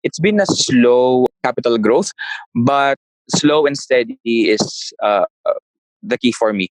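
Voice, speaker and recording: A man's voice: mid-range voice, slow pace, no echo, rough recording. A man, answering interview questions in a casual way and often searching for words. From Philippines. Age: 30s. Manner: searches for words, frequent restarts. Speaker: guest, property investor.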